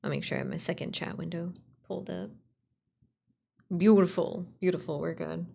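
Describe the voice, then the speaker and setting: A woman's voice: on the low side, fairly even intonation, moderate pace, creaky, dark tone. A woman livestreamer chatting casually and playfully with viewers, rambling and swearing as she goes. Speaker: streamer, writer and voice actor.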